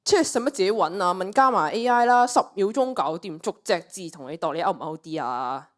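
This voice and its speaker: A woman's voice: lowish voice, animated, brisk, natural voice. A teenage girl with a Cantonese accent playing a brash, flippant young woman in a radio play, scoffing and cocky. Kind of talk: student radio play acting.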